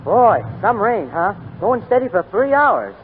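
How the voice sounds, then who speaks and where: High-pitched chatty elevator boy, noisy radio. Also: sing-song, measured pace. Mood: chatty, casual. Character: chatty young New York elevator operator, naive. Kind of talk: 1940s radio drama acting. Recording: tinny, noisy 1942 radio broadcast.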